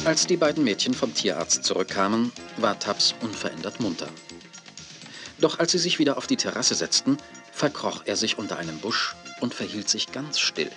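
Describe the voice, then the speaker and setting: A man acting as the calm narrator of a scripted children's audio play. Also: fairly low pitch, normal speed. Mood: storytelling. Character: calm male storyteller of a children's story. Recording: 1980s cassette audio play, music behind. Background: music.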